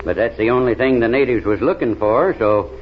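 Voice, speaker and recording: An actor playing a plain-spoken backwoods adventurer in an old radio serial, with a drawl and folksy, ungrammatical speech. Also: male voice, mid-range voice, fairly quick, close to an everyday voice. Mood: recounting adventures. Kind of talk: 1930s radio serial acting. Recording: dull, noisy old radio recording.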